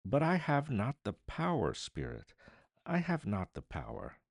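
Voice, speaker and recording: A man's voice: medium pitch, huge pitch swings, a little slow, creaky, no echo, studio quality, voice pushed up, exaggerated voice. A man playing an anguished, fearful old man in a storybook reading, solemnly beseeching. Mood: agonized pleading.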